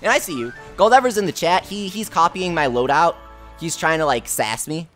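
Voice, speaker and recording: Upbeat young man with lively pitch. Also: brisk. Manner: upbeat, reads chat aloud with frequent laughs. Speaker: streamer. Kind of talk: live stream chat reading. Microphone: close to the mic. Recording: faint game music behind. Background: game music.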